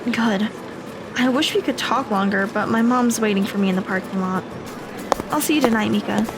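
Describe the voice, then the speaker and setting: Teenage girl woman actor, high school audio drama: sincere, contrite, fairly high voice over clear music. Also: normal speed, lightly acted, rough recording. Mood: friendly.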